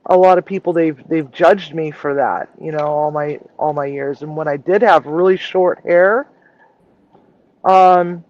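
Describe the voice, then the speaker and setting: A woman with a low voice, chatting frankly and casually as a regular on a livestream. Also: measured pace, highs cut off. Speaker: chef and regular co-host.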